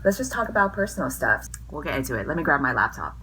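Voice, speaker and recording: A woman's voice: sits low, very animated, fast, loud music behind the voice. A woman in her twenties, a little tipsy, chatting in a sarcastic, swearing, mock-dramatic way as a vlogger answering viewer questions. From US West Coast. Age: 20s. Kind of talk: casual tipsy Q&A chat.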